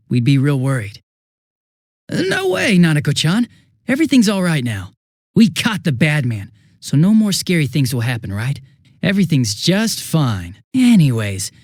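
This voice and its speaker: A man's voice: mid-range voice, no room sound, clean studio sound, lightly acted. A man voicing a goofy, chatty, hapless young police detective in a video game, with a casual, flustered, rambling manner. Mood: apologetic, concerned, then reassuring, joking. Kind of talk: English video game voice acting.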